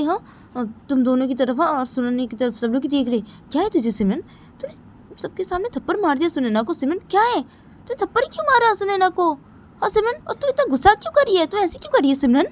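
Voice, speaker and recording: A woman's voice: high voice, sing-song, quick pace, slight background noise, pitched above the performer's own voice. A woman voicing a young female college friend who scolds and questions someone. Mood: scolding. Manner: reproachful questioning.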